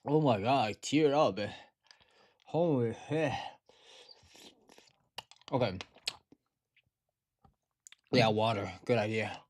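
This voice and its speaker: A man's voice: mid-range voice, slow pace, pauses often. A tired young man from Taiwan, chatting to viewers on a livestream in slangy, swearing speech. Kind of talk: casual livestream chat.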